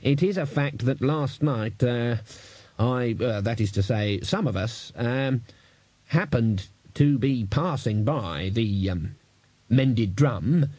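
A man's voice: medium pitch, lively intonation, takes it slowly, mellow, slightly rough, no room sound. A man voicing a flippant, mildly pompous senior wizard with a rambling, hesitant manner, in an audiobook. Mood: sheepish. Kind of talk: audiobook character voice.

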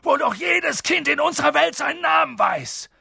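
A reader voicing a huge, gruff half-giant man who blusters loudly but turns hushed and worried. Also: mid-range voice, very animated, moderate pace, dry recording, clean studio sound, exaggerated voice. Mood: gruff booming. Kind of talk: audiobook reading.

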